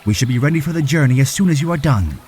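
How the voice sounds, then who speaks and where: A man's voice: mid-range voice, animated, racing, darkish tone, faint music behind, lightly acted. A man playing a cheerful, gallant, towering fish-folk prince in an audio drama, hearty and courteous. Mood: confident.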